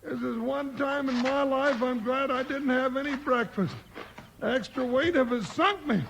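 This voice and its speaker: An actor playing a comic, excitable, folksy cowboy sidekick in a 1950s radio western. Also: male voice, extremely high pitch, normal speed, gravelly, heavily acted. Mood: easygoing. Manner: folksy, colloquial. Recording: noisy old 1950s radio recording.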